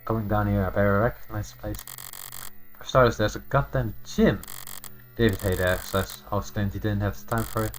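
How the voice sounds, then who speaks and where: A man's voice: on the low side, steady tone, low-quality recording. Man streaming a game read-aloud over music. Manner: halting reader who repeats and rereads lines. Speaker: streamer reading and voicing a visual novel. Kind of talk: live game read-aloud with off-script asides.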